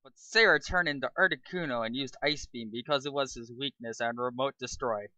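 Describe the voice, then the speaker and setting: A woman's voice: extremely low pitch, fairly quick, bright, forceful tone, no echo, rough recording. A woman co-reading a story aloud in an amateur, mock-dramatic style.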